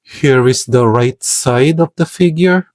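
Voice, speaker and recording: A man's voice: medium pitch, takes it slowly, no echo. Man reviewing an action figure. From Philippines. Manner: hesitant, searching for words, careful. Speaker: toy reviewer. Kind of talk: product review voice-over.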